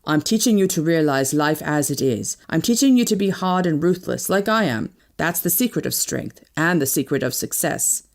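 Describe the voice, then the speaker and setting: A woman's voice: deep voice, lively intonation, normal speed, few pauses. A woman voicing a stern, commanding colonel in an audiobook, with a firm, clipped and condescending manner. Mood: stern, hard. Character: stern, commanding British colonel, a grim older man. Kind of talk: audiobook character dialogue.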